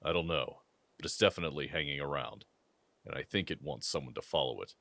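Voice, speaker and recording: A man's voice: rumbling low, animated, fast talker, creaky, bright, forceful tone, dry recording, exaggerated voice. A man acting a cautious, serious leader of werewolf scouts in an audio drama. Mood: worried, urgent.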